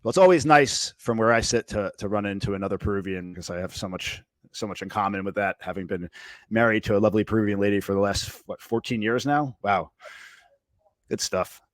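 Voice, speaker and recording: A man in his forties hosts a podcast and asks long, rambling questions with plenty of fillers. He speaks at a measured pace into a close headset mic. The sound is dry and close. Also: fairly low pitch, no room sound. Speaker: podcast host. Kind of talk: casual interview chat. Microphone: headset, studio.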